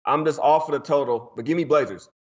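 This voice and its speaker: A man's voice: a little high, quick pace, muffled recording. A man from Portland, Oregon, hosting a casual sports betting show with loose, slangy banter.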